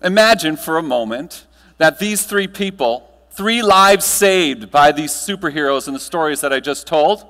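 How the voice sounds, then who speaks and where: A man giving a motivational keynote to a live audience, telling stories with dramatic pauses and emphatic delivery. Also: fairly high pitch, lively intonation, fairly bright tone. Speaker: keynote speaker. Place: large room, live audience, amplified. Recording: echoey hall, long reverb.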